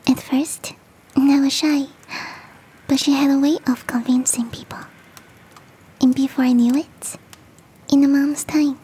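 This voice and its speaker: A woman voicing a big, fluffy, motherly and flirty yeti in a soft, teasing, whispered ASMR roleplay. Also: sits high, slight background noise, poor audio. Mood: wistful, warm. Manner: tender, teasing, coaxing.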